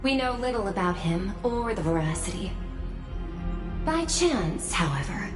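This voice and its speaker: A woman's voice: medium pitch, sing-song, a little slow. An actress playing a detached, analytical female adviser in a video game, with a precise and formal delivery. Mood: grave, analytical. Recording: roomy echo with game music behind.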